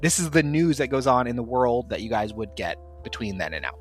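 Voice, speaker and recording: A man's voice: mid-range voice, a little fast, faint music behind. A man casually hosting and running an actual-play tabletop game podcast, with a playful, jokey manner. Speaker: podcast host and game master.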